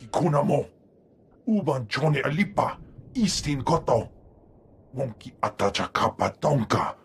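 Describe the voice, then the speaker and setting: An actor voicing a stern, husky alien master in a video game, talking in an invented alien tongue. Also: male voice, mid-range voice, big pitch swings, measured pace, a little hiss or hum. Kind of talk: video game creature dialogue.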